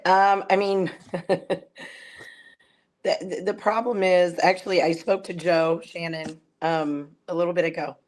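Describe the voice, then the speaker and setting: Female trade guest, hoarse, half-gone voice, informal chat in a casual panel discussion. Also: lowish voice, fairly even intonation, measured pace, long pauses, a little mellow. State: losing her voice, hoarse. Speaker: guest, comics distributor executive.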